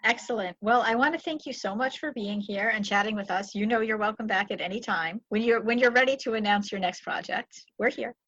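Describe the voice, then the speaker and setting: A woman's voice: medium pitch, brisk, few pauses, fairly bright tone, no echo. A woman hosting a casual interview, heard through slightly dull, lo-fi audio. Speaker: podcast host, interviewer.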